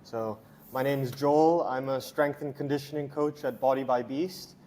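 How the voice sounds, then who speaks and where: A young man from Hong Kong who coaches strength and conditioning, giving relaxed, chatty interview answers with many fillers and restarts. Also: mid-range voice, normal speed, slight background noise.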